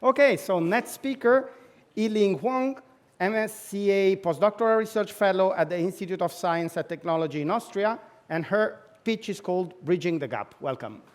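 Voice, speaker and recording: A man's voice: sits high, normal speed. A man moderating a conference session, making light, joking asides while introducing speakers. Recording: echoey hall with audience noise.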